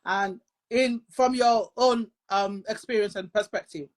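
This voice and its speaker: A woman from Nigeria hosting a live panel conversation, speaking in a halting, searching way. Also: mid-range voice. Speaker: host of a live discussion.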